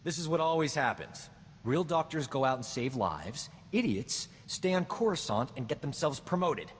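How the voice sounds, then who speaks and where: A man's voice: on the high side, big pitch swings, normal speed, in a large hall, a little hiss or hum. A man voicing a roguish, boastful male doctor who talks his way out of everything, in a video game. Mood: indignant. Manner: boastful, glib, self-satisfied. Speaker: companion character.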